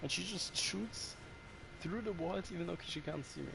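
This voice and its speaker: A man's voice: high-pitched, moderate pace, vocal fry, music in the background, rough recording. A man with a German accent chatting casually to his viewers while he plays, swearing when the game frustrates him. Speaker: streamer. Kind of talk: casual gameplay commentary to chat.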